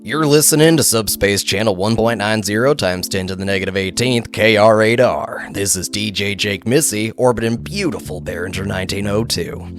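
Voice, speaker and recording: Man as a low-voiced alien space DJ. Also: normal speed, few pauses, soft background music, close to an everyday voice. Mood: chatty, playful. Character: smooth quirky alien space radio DJ. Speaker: radio DJ. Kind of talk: late-night radio patter in audio drama.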